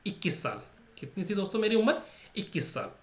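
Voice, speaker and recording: A man's voice: high voice, sing-song, a little fast. Male math teacher, Rajasthani Hindi accent, repeats every step, quizzes students, roomy echo. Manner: repetitive, drills points with rhetorical questions to the class. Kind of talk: exam-prep math lesson. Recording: echoey room.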